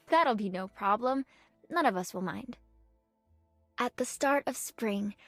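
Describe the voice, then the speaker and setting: A woman voicing an earnest, dutiful young nun archer in a video game, speaking politely and formally in measured phrases. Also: on the high side, no echo, natural voice. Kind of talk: scripted game character voice lines. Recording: clean dry studio, music behind.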